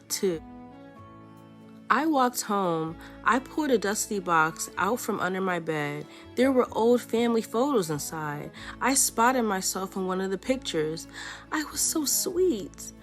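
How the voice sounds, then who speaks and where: A woman reading a children's picture book aloud in a playful, expressive storytelling way. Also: medium pitch.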